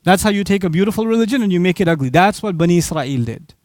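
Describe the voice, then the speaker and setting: A man from Pakistan giving an animated religious lecture, asking the audience rhetorical questions. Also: high-pitched, fast, no room sound, clean studio sound. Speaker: Islamic speaker.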